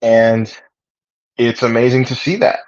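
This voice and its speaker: A man's voice: mid-range voice, steady tone, a little slow, a little mellow, no echo, lo-fi sound. A man hosting a casual conversation, talking in a rambling way with many fillers and restarts. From Puerto Rican heritage, raised speaking English. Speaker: podcast host.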